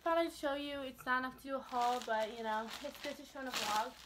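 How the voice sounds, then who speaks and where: A young woman with a somewhat high voice talks casually to her camera. She rambles and wanders into asides in the style of an informal vlog. The amateur bedroom recording carries faint noise. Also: quick pace. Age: young adult. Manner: chatty, rambling, trailing off into asides. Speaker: vlogger. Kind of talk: casual chat to camera, showing shopping. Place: small bedroom, indoors.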